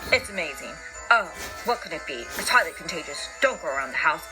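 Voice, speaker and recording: A woman's voice: low-pitched, big pitch swings, a little fast, over music, voice pushed down, poor audio. A woman voicing an alarmed, sensational news reporter in a storybook, giving urgent warnings.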